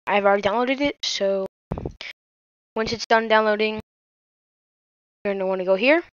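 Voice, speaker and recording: A woman's voice: medium pitch, moderate pace, smooth, no room sound, highs cut off. A woman hosting a tech tutorial, talking casually as she walks through steps on a screen. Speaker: host of a tech tutorial channel.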